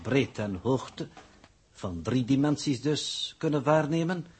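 A man playing a calm, pedantic scientific coordinator who lectures formally and condescendingly in a 1960s radio drama. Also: mid-range voice, slow pace, slightly rough. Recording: muffled-edged vintage 1960s radio recording.

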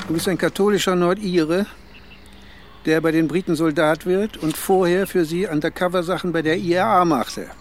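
An actor playing a middle-aged police investigator in a German radio crime drama, familiar yet insistent and probing. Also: male voice, sits high, fairly even intonation, moderate pace, a little hiss or hum, close to an everyday voice.

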